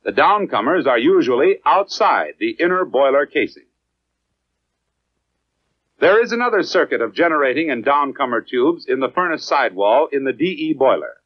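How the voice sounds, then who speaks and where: An American man reads scripted 1950s educational film narration in a measured, clearly enunciated, instructional manner. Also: a little high, big pitch swings, dry recording. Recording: dry, dull lo-fi 1950s film soundtrack.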